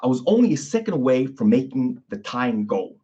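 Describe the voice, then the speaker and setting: A man's voice: mid-range voice, sing-song, a little fast, dark tone. A man hosting his own podcast, talking casually and often tagging his lines with "okay?" and "you know". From Sweden. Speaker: podcast host, physiotherapist. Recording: dull lo-fi recording.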